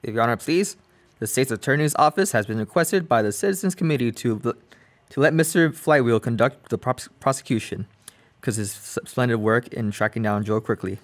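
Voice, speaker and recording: A young man playing an earnest, formal male district attorney who speaks with a few halting stumbles, in a comic radio play. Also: mid-range voice, lively intonation, close to an everyday voice. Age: young adult.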